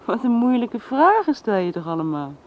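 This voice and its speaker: An actress playing an affectionate young governess talking soothingly to a small child in a radio drama. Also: female voice, on the high side, sing-song, moderate pace, muffled recording. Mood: affectionate, playful.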